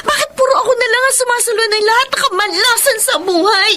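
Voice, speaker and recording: Young female, piercingly high pitch, wronged little sister, plaintive, bitter, radio drama, noisy recording. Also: fast talker. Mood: anguished, tearful. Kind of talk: Filipino radio drama acting. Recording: noisy background.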